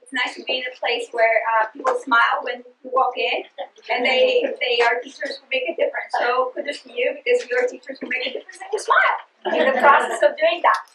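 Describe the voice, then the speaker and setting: A middle-aged woman with a Greek accent speaks to a room of teachers, earnest and passionate, often restarting her phrases. Her voice sits a little high and rings in an echoey meeting room. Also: brisk, sharp tone, slight background noise. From Greece. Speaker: literacy presenter. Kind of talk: professional development talk to teachers.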